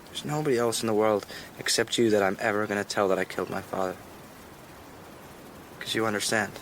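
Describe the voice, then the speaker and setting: A man's voice: on the low side, steady tone, fairly quick, fairly bright tone, slight background noise. A young actor playing a troubled, lonely, intense teenage boy in a naturalistic radio drama. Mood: desolate, clinging.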